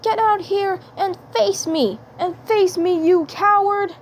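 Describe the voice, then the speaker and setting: A woman voicing a determined, defiant boy investigator in a cartoon story, repeating phrases haltingly. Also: very high-pitched, steady tone, pitched above the performer's own voice. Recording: clear background noise.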